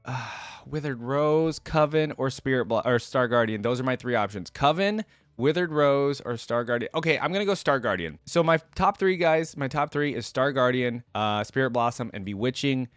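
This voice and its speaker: A man records casual reaction commentary in a dry space with very little room sound. His pitch sits in a middle range for a male voice. He moves informally between reading passages aloud and thinking through his own take on them. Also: no room sound. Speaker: reaction video creator.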